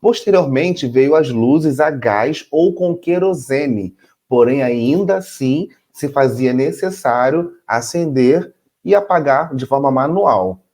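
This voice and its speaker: A man's voice: medium pitch, animated, takes it slowly, a little mellow. A man co-hosting a casual educational chat, teasing his partner and laughing often. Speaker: co-host.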